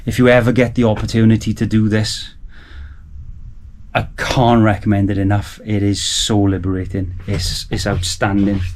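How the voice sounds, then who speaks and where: Man, forties, fairly low voice, eager and rambling, small home studio. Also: little pitch movement, moderate pace, darkish tone. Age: 40s. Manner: enthusiastic, rambling. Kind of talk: casual chat to camera. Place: small sound-proofed garage studio.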